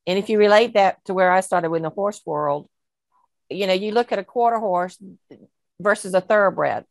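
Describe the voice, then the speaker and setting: A woman's voice: medium pitch, normal speed, no echo. A woman on a video call panel, talking casually and thinking aloud with rhetorical questions about dog breeding.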